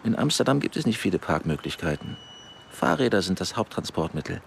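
A man's voice: low-pitched, big pitch swings, a little fast, creaky, slight background noise, full character voice. An actor playing a friendly, polite local man helping a stranger in a radio drama. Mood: friendly, helpful. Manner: polite, helpful.

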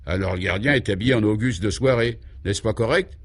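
A man voicing an obliging, matter-of-fact male guide in a radio comedy, with deadpan colloquial delivery. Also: lowish voice, fairly quick, lightly acted.

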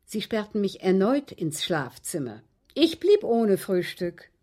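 An actress playing a genteel, aggrieved widow in a German radio drama, speaking in precise, formal, dramatic phrases with a husky voice. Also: female voice, mid-range voice, sing-song, measured pace, mellow. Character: genteel, aggrieved widow recounting her captivity.

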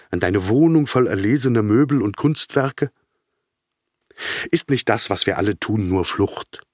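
A man's voice: on the low side, husky, distant mic. An actor playing a weary, detached middle-aged male patient, narrating his inner thoughts in a reflective, sardonic literary monologue. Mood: questioning, reflective.

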